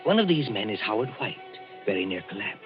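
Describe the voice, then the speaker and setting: A man narrating an old radio drama in a dramatic, measured, ominous way. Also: fairly low pitch, very animated. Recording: dull old radio broadcast with music.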